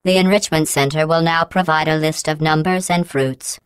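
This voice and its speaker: Game role: a passive-aggressive female computer overseer, low and very clean, robotic processing, monotone announcer menace. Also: normal speed, clean tone, no echo. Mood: deadpan. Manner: deadpan, mock-polite, monotone announcer delivery. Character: cold, passive-aggressive female AI running a test facility. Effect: synthetic robotic voice processing. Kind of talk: video game voice acting.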